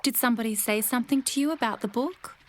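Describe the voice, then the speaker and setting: A woman playing a gentle, fussing, concerned motherly female swan in a comedic audio drama. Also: on the high side, fast talker, lightly acted.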